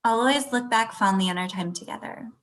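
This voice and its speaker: A woman playing a curt, fed-up young girlfriend ending a relationship, deadpan, in a table read over a video call. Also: fairly high pitch, brisk, natural voice. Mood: curt, weary. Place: indoor room on a video call.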